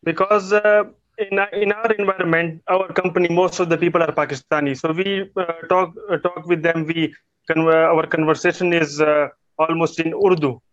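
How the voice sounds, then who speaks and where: A man's voice: on the high side, steady tone, moderate pace. A man speaking on a video call in a casual conversation, hesitant, with many fillers and restarts while he explains himself. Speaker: guest participant practicing English. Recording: muffled video call audio.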